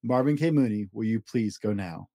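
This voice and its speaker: A man's voice: on the low side, moderate pace, dark tone, dry recording, clean studio sound. A man hosting a children's story podcast, reading aloud expressively with an emphatic, rhythmic delivery.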